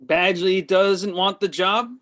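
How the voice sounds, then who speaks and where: A man's voice: sits high, little pitch movement, takes it slowly, a bit bright. A man reading the part of a grumpy, skeptical older brother, contrarian and bossy, in a comedy table read.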